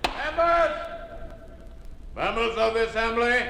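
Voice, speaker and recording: Cold presiding official, high gravelly voice, declamatory old radio style, echoing chamber. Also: male voice, expressive, pushed and bright, heavily acted. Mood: cold, accusing. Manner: formal, deliberate, declamatory. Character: pompous, cold regime official presiding over a senate. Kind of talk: 1950s radio drama oratory. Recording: echoey hall, faintly noisy old radio recording.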